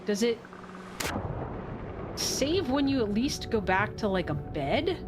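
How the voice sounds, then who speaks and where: A woman's voice: a little high, big pitch swings, slow pace. A woman streaming a game live, thinking aloud as she plays and answering her chat. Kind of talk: casual live gameplay commentary. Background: game music.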